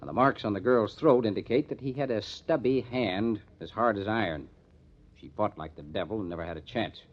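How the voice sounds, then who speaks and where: An actor playing a hard-nosed, determined police detective in an old-time radio crime drama, speaking in a measured, emphatic way. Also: male voice, fairly low pitch, natural voice. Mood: grimly determined. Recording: dull lo-fi old radio recording.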